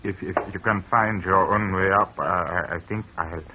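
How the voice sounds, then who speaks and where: An actor playing a weary farmer grieving a lost girl, talking haltingly and stammering, in a 1940s radio drama on an old lo-fi broadcast. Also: deep voice, steady tone, background noise, natural voice. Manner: halting, stammering, soft-spoken.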